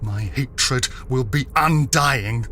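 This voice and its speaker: A man's voice: medium pitch, slow, sharp tone, a little hiss or hum. A man playing a grief-stricken man solemnly vowing revenge in an audio drama. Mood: bitter resolve. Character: vengeful, grief-stricken man swearing undying hatred.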